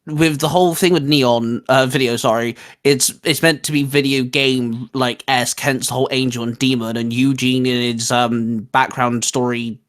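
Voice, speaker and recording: A young man with an average-pitched voice talks through his opinions as a gaming co-host. His delivery is informal and explanatory. He pauses and rephrases while looking for the right word. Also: steady tone, fairly bright tone. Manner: explanatory, groping for words, tags points with "you know". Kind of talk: casual discussion of video games.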